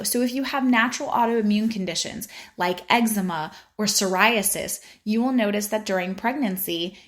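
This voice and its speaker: A woman's voice: mid-range voice, fairly bright tone. Upbeat woman with a Spanish accent. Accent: Spanish-accented English. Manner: upbeat, playful asides while explaining. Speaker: podcast host. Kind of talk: informative podcast monologue.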